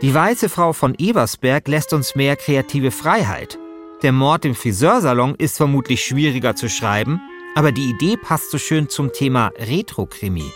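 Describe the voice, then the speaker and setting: A man's voice: medium pitch, expressive, moderate pace, a bit bright. A man narrating a scripted first-person story as podcast host, in speech with a wry, dramatizing manner. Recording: music behind the voice. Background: music.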